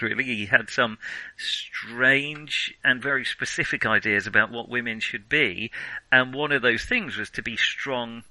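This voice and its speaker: Man, very bright sharp voice, casual film talk, hesitant and discursive, dry sound. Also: mid-range voice, dry recording, poor audio. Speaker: podcast co-host.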